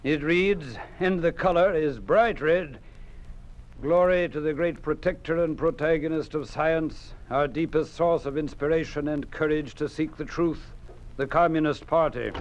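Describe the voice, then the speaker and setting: A dull-sounding vintage radio play with an American actor as a cold party official. His fairly high voice is smug, condescending and pompous, with quiet menace. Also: a little slow, slight background noise, natural voice. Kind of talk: 1950s radio drama acting. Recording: muffled vintage 1950s radio recording.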